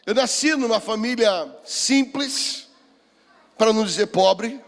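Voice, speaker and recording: Male preacher, 60s, Brazilian accent, high voice, deliberate testimony delivery, reverberant hall. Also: a bit bright. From Rio de Janeiro, Brazil. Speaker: pastor, seminar speaker. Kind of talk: sermon with personal testimony. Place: church hall, speaking to a seminar.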